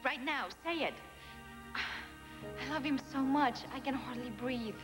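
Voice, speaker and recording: A pleading high-voiced ghost wife. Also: female voice, slow, big-room sound, music in the background. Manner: urgent, pleading.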